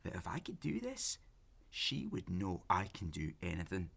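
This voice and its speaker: Gravelly, low-voiced obsessive lovesick man. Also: wildly swooping pitch, fairly bright tone, exaggerated voice. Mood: obsessive, agitated. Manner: intense, halting, self-justifying. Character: obsessive, pleading, lovesick lonely man on a date. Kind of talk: naturalistic audio drama acting.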